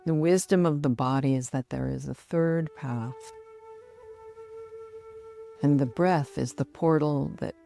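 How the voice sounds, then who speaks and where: A middle-aged woman guiding a relaxation meditation in a soothing, measured manner with long pauses. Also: rumbling low, creaky, mellow. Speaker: yoga nidra meditation guide.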